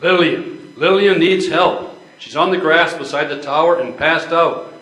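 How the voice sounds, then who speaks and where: An older man plays an excited hotel guest in a stage reading. His voice is somewhat high and urgent. It echoes in the room. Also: measured pace. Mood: alarmed, urgent. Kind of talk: amateur readers theater.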